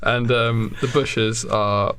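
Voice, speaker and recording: A young man, haltingly telling a comic story in a casual, giggly way. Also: mid-range voice, steady tone, over a noisy crowd. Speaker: podcast host. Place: small podcast recording booth.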